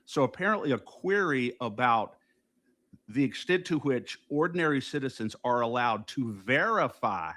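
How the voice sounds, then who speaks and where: A man's voice: medium pitch, expressive, moderate pace. A man, co-hosting a political talk show, talking emphatically and indignantly with frequent restarts. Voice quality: loud voice. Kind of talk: casual political discussion.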